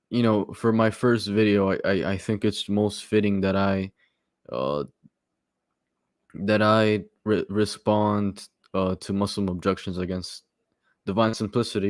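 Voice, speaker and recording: A man with a low voice hosts a casual live stream. He has a minor stutter and often repeats words and pauses on fillers. The sound is dry and close, with no room echo. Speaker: stream host. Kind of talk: casual livestream commentary.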